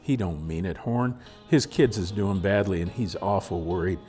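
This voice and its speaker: A man voicing a gentle pioneer man calmly smoothing over a quarrel in a storybook reading. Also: low voice, normal speed, faint music behind, lightly acted. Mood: placating. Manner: soothing, rustic grammar.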